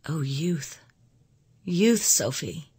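Woman playing a plaintive, wistful society widow, lifted pitch. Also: big pitch swings, normal speed, slightly rough. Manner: dramatic, plaintive. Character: vain, wistful ageing society widow, wounded and proud. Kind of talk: audiobook character dialogue.

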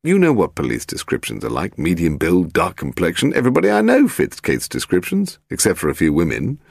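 A man's voice: sits low, huge pitch swings, brisk, creaky, slightly rough, no room sound, heavily acted. A man voicing a suave husband and amateur sleuth with a dry, teasing manner.

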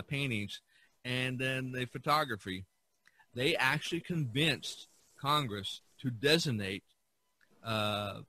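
Bright-voiced, enthusiastic man chatting. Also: medium pitch. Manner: enthusiastic, animated storytelling. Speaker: podcast host. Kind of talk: casual art history discussion.

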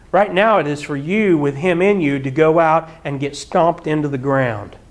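A middle-aged man preaching in a church hall, his voice sitting fairly high for a man. He talks animatedly, slipping into mock singing and quick laughs, and is picked up by a camera out in the room. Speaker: pastor. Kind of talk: sermon. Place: church hall with projector screen.

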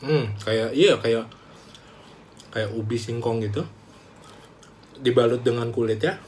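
A young man, chatting casually to camera in a small room as a food vlogger, animated and talkative. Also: mid-range voice, takes it slowly, a little hiss or hum. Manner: chatty, animated, calls himself by name. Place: small room indoors, plain wall.

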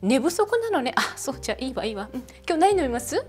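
A gushing bar hostess chats with her customer in a high, sing-song voice, played by a woman. She talks in a soft, feminine way that rises and falls a great deal. Faint music plays behind her. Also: sing-song, fast talker. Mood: chatty. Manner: chatty, gushing, feminine sentence endings. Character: chatty middle-aged woman running a snack bar. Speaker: bar hostess in a role-play. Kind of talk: one-sided role-play with an unseen customer.

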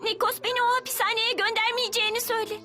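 A woman's voice: very high-pitched, flat delivery, quick pace, pushed and bright, faint music behind. A woman voicing a stubborn, tender little orphan circus girl in a dubbed cartoon, with childlike, emotional delivery. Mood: defiant, pleading.

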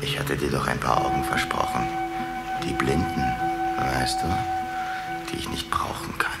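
An actor playing a creepy, boastful lamprey fish in a children's radio play, with a drawling, wheedling manner. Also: male voice, a bass voice, wildly swooping pitch, over loud music, full character voice. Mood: sinister, coaxing. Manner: drawling, wheedling, hesitant pauses.

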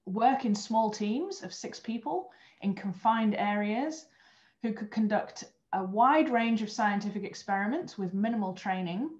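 A woman's voice: medium pitch, a little mellow. A European woman in her thirties talks about her work as a guest on a video call interview. She explains things earnestly, restarting a phrase now and then. The sound is muffled and lo-fi, with a roomy echo around her voice. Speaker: guest, former astronaut trainer. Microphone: webcam on video call.

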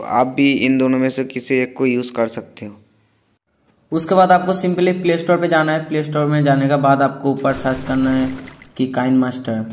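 A man's voice: mid-range voice, a little hiss or hum. Man giving a casual app tutorial. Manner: plain step-by-step instructing. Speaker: gaming channel host.

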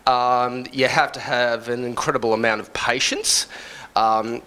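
A man in his forties giving unscripted advice to a live audience, halting as he thinks aloud. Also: mid-range voice, steady tone, pushed and bright, poor audio. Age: 40s. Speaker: panelist, music radio plugger. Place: indoor event room with large windows. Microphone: handheld microphone through a PA.

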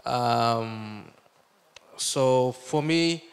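A man's voice: mid-range voice, extremely slow, vocal fry, clean tone. Fervent man in a reverberant church hall. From Fiji. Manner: earnest, fervent prayer. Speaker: visiting Bible school leader. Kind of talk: testimony and closing prayer. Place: church hall, standing before the congregation. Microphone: handheld microphone.

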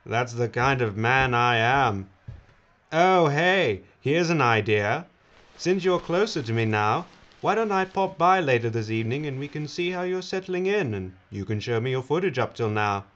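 A man's voice: mid-range voice, lively intonation, moderate pace, fairly bright tone, close to an everyday voice. Man playing a smarmy, glib corporate boss, muffled recording. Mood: smarmy, self-pleased. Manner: glib, patronizing, oily. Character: smarmy, self-satisfied male corporate boss. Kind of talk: audio drama acting. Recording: dull, noisy audio.

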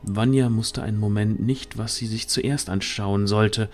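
Low-voiced, unhurried male storyteller. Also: steady tone, soft background music. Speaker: narrator. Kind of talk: scripted storytelling narration for fantasy audio drama.

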